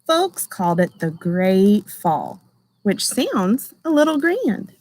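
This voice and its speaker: A woman voicing a gentle, anxious but determined egg, telling its own story in measured, expressive storybook narration. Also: mid-range voice, exaggerated voice. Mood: wistful. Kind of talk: first-person storybook narration.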